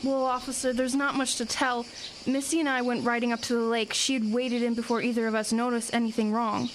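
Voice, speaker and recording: A woman playing a plucky teenage girl in an audio drama, matter-of-fact, earnest and brisk. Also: fairly high pitch, level tone, slight background noise, natural voice, rough recording.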